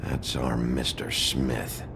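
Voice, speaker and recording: An actor playing a gruff, brooding male detective in a noir video game, with a gravelly voice and a terse, deadpan, authoritative delivery. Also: extremely low pitch, slow pace, slight background noise, heavily acted. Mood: pensive. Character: gruff, brooding wolf detective in human form.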